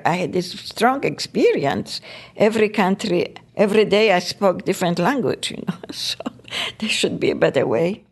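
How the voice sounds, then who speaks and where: Cheerful older woman from Poland. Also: medium pitch. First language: Polish. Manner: cheerful, laughs easily. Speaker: interviewee. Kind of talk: personal storytelling.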